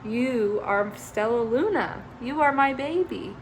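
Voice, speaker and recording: A woman voicing a protective mother bat, soft and motherly in a storybook reading. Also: on the high side, lively intonation, slow pace, noisy background, pitched above the performer's own voice. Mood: emotional.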